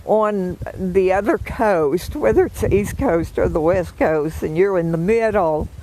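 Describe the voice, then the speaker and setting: An older woman with a hoarse, froggy morning voice, chatting gently and affectionately with live viewers from the woods. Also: lowish voice, a little slow, slight background noise, poor audio. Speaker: vlogger greeting live viewers. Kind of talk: casual live chat. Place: outdoors in the woods.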